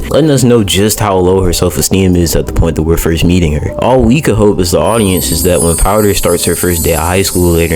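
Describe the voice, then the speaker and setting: A young American man giving casual, slangy scripted commentary as a video host, with joking asides. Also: deep voice. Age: young adult. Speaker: YouTube host reviewing indie animation.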